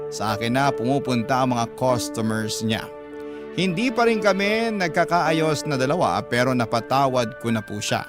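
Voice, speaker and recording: A man with a Filipino accent narrating a listener's letter as a radio drama host. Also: medium pitch. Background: music.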